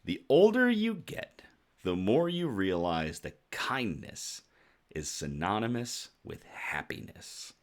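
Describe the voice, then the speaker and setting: A man hosting a casual livestream, chatting to viewers in a jovial, effusive way, his voice slightly rough from shouting. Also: sits low. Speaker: host of a casual news and beer livestream.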